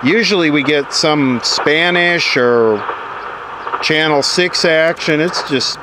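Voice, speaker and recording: A man giving casual, matter-of-fact commentary on a hands-on demonstration, unhurried, recorded outdoors. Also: a little high. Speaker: video host. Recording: loud wind and radio static noise. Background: wind noise, hissing radio.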